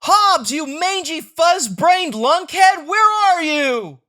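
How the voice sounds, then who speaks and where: A woman voicing an excitable, stubborn young boy in a comic strip read aloud, with a dramatic, exclamatory manner. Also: very high-pitched, slow pace, voice pushed up, exaggerated voice. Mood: frantic. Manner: dramatic, exclamatory, whiny when upset.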